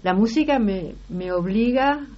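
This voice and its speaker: A woman's voice: on the high side, animated, unhurried. Rioplatense woman guest, muffled sound. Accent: Rioplatense Spanish. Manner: thoughtful, trails off and restarts mid-sentence. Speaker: guest, actress and singer. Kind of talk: casual interview talk. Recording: slightly muffled broadcast audio.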